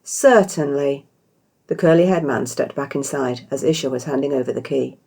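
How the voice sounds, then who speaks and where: A low-voiced British woman reads a story as its author. Her delivery is scripted narration, with a gravelly creak running through the deep register. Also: mellow. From Britain. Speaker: author reading own story.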